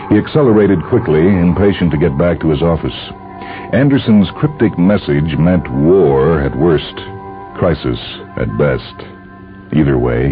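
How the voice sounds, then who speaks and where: A man's voice: very deep voice, narrow, tinny audio. A man reading a science fiction story as dramatic scripted narration. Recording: band-limited radio-like sound with music behind. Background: music.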